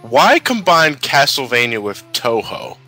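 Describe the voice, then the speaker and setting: A man's voice: medium pitch, big pitch swings, a little slow. A man giving casual, critical game commentary in a dry, questioning way. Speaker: gaming commentator. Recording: game music behind the voice. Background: video game music.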